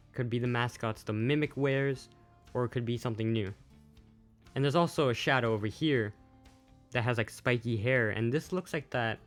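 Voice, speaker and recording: A man giving casual, off-the-cuff video commentary as a host, plain-spoken and a little unsure. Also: mid-range voice. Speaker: YouTube host, game news commentator. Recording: music playing behind the voice.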